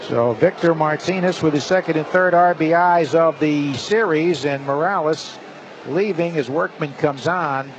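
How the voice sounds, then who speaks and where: Higher-pitched man calling baseball play-by-play. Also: a little slow. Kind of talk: live radio baseball commentary. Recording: slightly dull broadcast, faint stadium noise.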